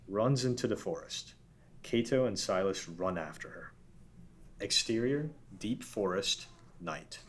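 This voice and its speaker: A man's voice: fairly low pitch, a bit bright, husky. A man narrating stage directions at a casual table read, reading steadily.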